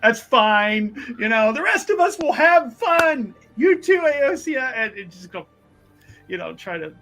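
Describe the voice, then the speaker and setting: A high-pitched voice pushes and hypes the group as a brash party ringleader acted by a man at a game table. He is cocky and bossy, with casual swearing in a tabletop fantasy game over faint music. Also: very animated, unhurried, pushed and bright, exaggerated voice. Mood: breezy, upbeat. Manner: pushy, hyping, casual swearing.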